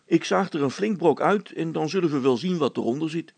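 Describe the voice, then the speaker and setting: A man voicing an eager, level-headed boy, the son of a handyman, in a storybook, in a matter-of-fact, high-pitched voice. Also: expressive, fairly quick, gravelly, a little mellow, breathy edge, heavily acted.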